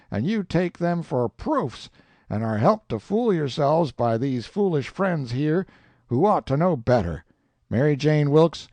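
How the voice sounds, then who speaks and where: A man voicing a stern older town doctor who speaks forcefully and indignantly. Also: fairly high pitch, no echo, voice pushed up.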